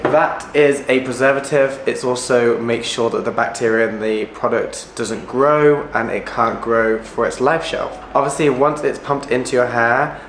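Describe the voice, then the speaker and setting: A man, a hairstylist, sounds husky after the flu and explains things directly in an educational vlog. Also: mid-range voice, echoey room, slight background noise. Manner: direct, explanatory, asks the viewer if it makes sense.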